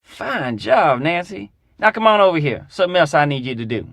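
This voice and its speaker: An actor playing a folksy, drawling mechanic with a Southern US accent in a video game. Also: male voice, on the high side, very animated, fairly quick, creaky, fairly bright tone, no echo, heavily acted. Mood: pleased. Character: folksy drawling male mechanic, gruff but friendly. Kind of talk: video game character dialogue.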